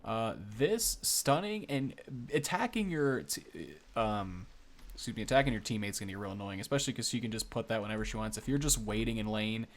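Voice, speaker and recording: A man's voice: on the low side, animated, a little fast. A young man giving casual, off-the-cuff game commentary, thinking aloud informally as he goes. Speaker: gaming video host.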